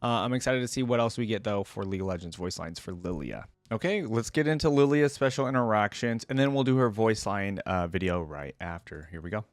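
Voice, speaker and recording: A man's voice: lowish voice, animated, brisk, few pauses, no room sound, clean studio sound. A man hosting a reaction video, chatting informally as he gives commentary. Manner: informal, conversational. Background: faint music.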